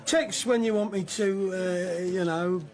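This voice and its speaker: A spaced-out older prog rocker. He drawls very slowly, mumbling and losing his thread, as a vague comic figure in a radio drama. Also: high voice, a little mellow. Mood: vague, amiable. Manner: hesitant, trailing off, mumbly. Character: vague, spaced-out ageing male prog-rock drummer. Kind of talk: radio comedy drama dialogue.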